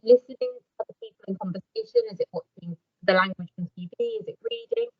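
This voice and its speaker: A woman's voice: medium pitch, huge pitch swings, fast talker, dry recording. A woman moderating a school video-call discussion, asking questions in a friendly, encouraging way. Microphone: laptop microphone on a video call. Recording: dull lo-fi laptop audio.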